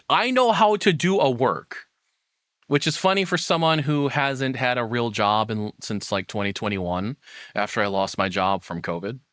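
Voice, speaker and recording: A man's voice: fairly low pitch, sharp tone, no room sound, clean studio sound. A man giving casual, rambling, opinionated livestream commentary with some swearing. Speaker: streamer reacting to a video.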